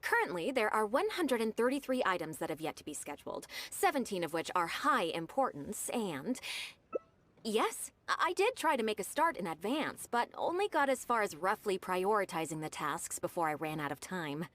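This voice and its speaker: A woman playing a brisk, efficient young secretary in a game, speaking formally and articulately with eager deference. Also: mid-range voice, big pitch swings, a bit bright. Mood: businesslike, eager. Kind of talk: scripted game dialogue.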